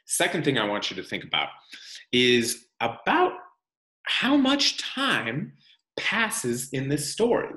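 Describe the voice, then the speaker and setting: Expressive, slow-paced man reading aloud. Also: medium pitch. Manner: expressive, deliberate, pausing for emphasis. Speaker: teacher reading a picture book aloud to children. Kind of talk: read-aloud storytelling with guiding questions. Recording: echoey room.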